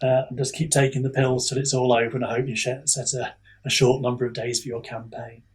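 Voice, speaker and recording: A man with a husky voice talking candidly and at length as an author guest in a casual chat. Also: mid-range voice, little pitch movement, a little fast.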